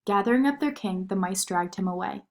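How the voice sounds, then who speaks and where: A woman narrating a children's story in an expressive read-aloud style. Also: mid-range voice, fairly quick.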